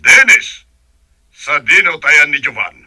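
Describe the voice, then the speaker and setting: An actor playing a stern, irritable older patriarch in a radio drama, gruff and commanding. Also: male voice, a little high, sing-song, a little slow, pushed and bright, no echo, heavily acted. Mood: angry, commanding.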